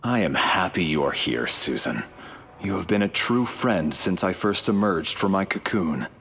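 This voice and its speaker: A man's voice: sits low, expressive, normal speed, fairly bright tone, slight background noise. A man voicing a noble artificial superbeing in a video game, speaking in a solemn, formal, grand manner. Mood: solemn, grateful.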